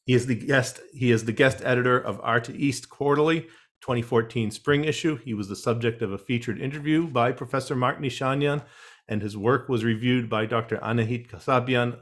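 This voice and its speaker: A man moderating an online panel, reading guest introductions in a measured way with wry asides over a video call. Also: mid-range voice.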